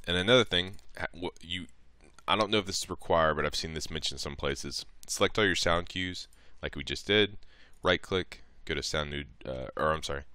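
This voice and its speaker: A man narrating a casual step-by-step software tutorial in an informal, somewhat hesitant way, thinking aloud as he goes. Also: extremely low pitch, clear, resonant tone, no room sound. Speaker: tutorial presenter.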